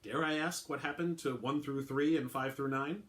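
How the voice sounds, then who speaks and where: A man's voice: mid-range voice, fairly even intonation, normal speed, fairly bright tone, lightly acted. A man doing a dry, blunt woman detective. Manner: dry, clipped and sarcastic.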